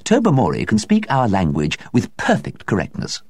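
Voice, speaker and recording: Man reading as a pompous gentleman scientist, patient, lecturing, lively pitch, low voice, dry. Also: normal speed, dry recording, full character voice. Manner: patient, lecturing, self-satisfied. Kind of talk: storybook reading.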